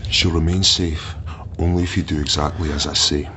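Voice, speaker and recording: A man's voice: rumbling low, fairly even intonation, normal speed, pushed and bright, slight background noise. A man playing a cold, menacing kidnapper in a radio drama, terse, commanding and controlled. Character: menacing, cold male kidnapper and hired gunman.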